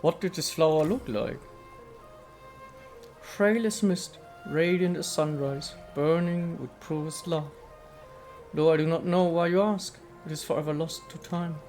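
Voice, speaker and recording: A man's voice: on the high side, a little slow, a little mellow, faint music behind. A man voicing an ancient female nature spirit from a video game, speaking in a serene, formal, poetic manner. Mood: serene, aloof. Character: ancient female statue goddess spirit, serene, aloof, contemplative. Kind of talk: dramatic reading of video game dialogue.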